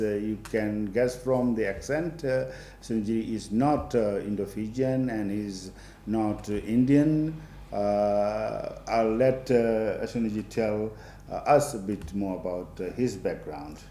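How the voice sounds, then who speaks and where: A man's voice: fairly low pitch, dark tone, roomy sound. A man hosting a TV interview show, speaking hesitantly with many fillers. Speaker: TV show host.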